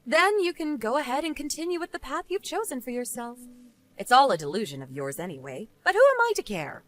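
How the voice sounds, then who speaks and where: A woman's voice: sits high, very animated, fairly quick, a bit bright. A woman playing a smug, vengeful wife and former bullying victim in scripted story dialogue, taunting and sarcastic. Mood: cool taunting.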